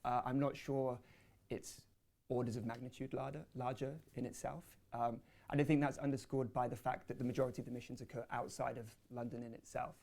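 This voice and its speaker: A man's voice: medium pitch, level tone, a little fast, vocal fry, darkish tone. A man presenting research and answering audience questions at a panel, speaking hesitantly with many fillers and restarts.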